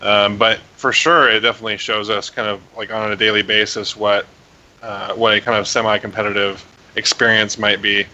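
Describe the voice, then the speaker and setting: A tired game developer, a man whose voice is a little raspy, rambling with many fillers as a guest on a call. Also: on the low side, little pitch movement, a little fast, sharp tone. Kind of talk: casual interview over call. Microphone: remote voice call. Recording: dull hissy call audio.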